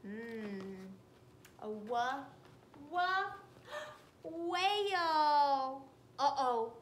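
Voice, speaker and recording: A woman in her thirties reading a picture book aloud to young children indoors, animated and questioning her listeners. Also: sits high, drawn-out words, slight background noise. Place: small room indoors.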